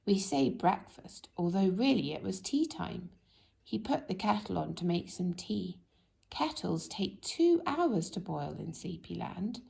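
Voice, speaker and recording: A woman reading a children's bedtime story expressively, in a gentle, drawn-out way that drops into hushed tones. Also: on the low side, sing-song, creaky. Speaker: storybook reader.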